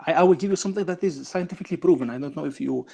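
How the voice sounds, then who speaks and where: Man, Arabic first language, pleading debater, fast, higher-pitched delivery. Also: gravelly, mellow, breathy edge. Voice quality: hesitant with many fillers. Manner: pleading, keeps asking to continue. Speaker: debate guest. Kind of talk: heated online panel debate.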